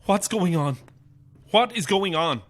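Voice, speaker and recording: A woman's voice: lowish voice, lively intonation, measured pace. An actress playing a frightened but defiant young woman trapped in a house, in a naturalistic horror audio drama. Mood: frightened, demanding.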